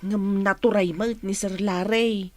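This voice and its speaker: An actress with a Filipino Ilocano accent playing a chatty, frank household confidante in a radio drama. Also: female voice, medium pitch, fairly even intonation, unhurried, lightly acted. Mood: questioning.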